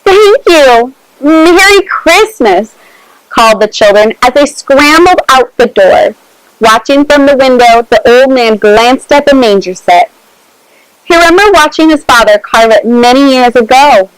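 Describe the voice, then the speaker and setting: Clear, higher-voiced woman reading a children's story. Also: normal speed, no echo, rough recording. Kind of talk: read-aloud children's storytime with asides.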